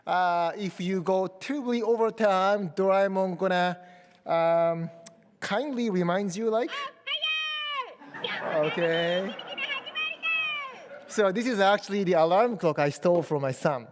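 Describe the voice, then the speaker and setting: Japanese accent guy, high voice, slow, jokey panel moderator, big hall echo. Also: background noise. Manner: jokey, playful asides. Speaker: panel moderator and robotics engineer. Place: conference hall.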